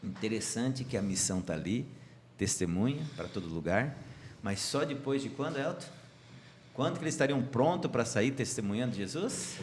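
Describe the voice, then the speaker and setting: A man's voice: medium pitch, lively intonation, moderate pace, a little hiss or hum. A man from Brazil leading a Sabbath school lesson on a church channel, chatty and joking with his co-hosts. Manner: chatty and warm, sending greetings and joking. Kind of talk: casual Bible study chat.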